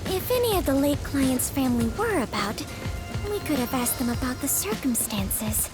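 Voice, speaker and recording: A woman's voice: high voice, big pitch swings, moderate pace, over music. A woman voicing a genteel, earnest young lady in a video game, with formal, polite phrasing. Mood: curious.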